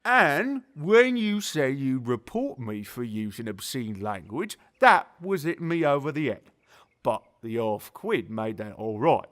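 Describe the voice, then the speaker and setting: An actor playing a grumpy but genial elderly zookeeper, telling things bluntly in rambling colloquial speech for an audio drama. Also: male voice, medium pitch, sing-song, a little slow, fairly bright tone. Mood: mollified, wry. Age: older. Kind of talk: audio drama character acting.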